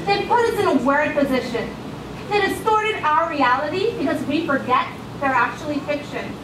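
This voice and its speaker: A woman delivers a rhymed, sermon-like poem in a strikingly high voice. Her delivery is emphatic and rhythmic. She is heard from far off in an echoey room with clear background noise. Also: lively intonation, moderate pace, a crowd in the background. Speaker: spoken word poet at a church. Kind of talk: rhymed spoken word poetry recital, sermon-like. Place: church, live before a congregation.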